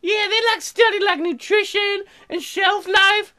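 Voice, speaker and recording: Man putting on a high, ditzy young woman. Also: fairly even intonation, pushed and bright, full character voice. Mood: ditzy, chatty. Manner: airheaded, drawn-out words with many likes. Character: ditzy, bubbly, airheaded young woman colonist.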